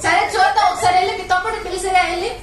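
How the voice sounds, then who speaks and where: A woman hosting a live children's puppet show, asking animated, repeated questions to engage the young audience. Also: very high voice, very fast. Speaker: puppet show host talking with the puppet. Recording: echoey, noisy hall, distant mic.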